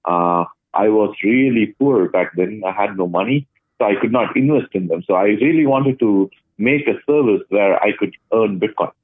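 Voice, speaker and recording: A man's voice: on the low side, normal speed, no room sound. A man from Chennai, India, answering interview questions thoughtfully, with frequent hesitations. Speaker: guest, blockchain project lead. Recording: tinny phone line.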